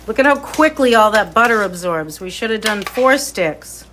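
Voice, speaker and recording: A woman with a light Boston accent hosting a live cooking demo from her kitchen, chatty and excitable as she talks to viewers. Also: medium pitch, moderate pace, background noise. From Boston area. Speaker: cooking show host. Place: home kitchen, indoors.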